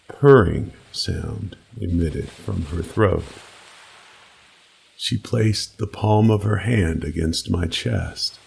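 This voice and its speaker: Very gravelly, deep, slow male horror narrator. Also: poor audio. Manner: slow, measured, brooding. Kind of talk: dramatic scripted first-person creepypasta narration. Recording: faint background noise.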